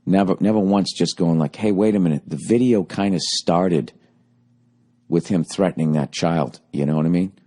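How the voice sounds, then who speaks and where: A man in his forties with a Boston accent, ranting sarcastically and profanely in a rambling comic monologue, his voice going a little hoarse. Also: deep voice, moderate pace, warm tone. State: voice getting hoarse. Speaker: podcast host.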